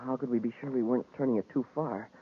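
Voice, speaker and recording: An actor playing an eager, dutiful young space cadet sidekick in a 1950s radio serial, earnest and respectful. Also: male voice, medium pitch, steady tone, fast talker, husky, natural voice. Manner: earnest, respectful to his superior. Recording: noisy, tinny 1950s radio recording.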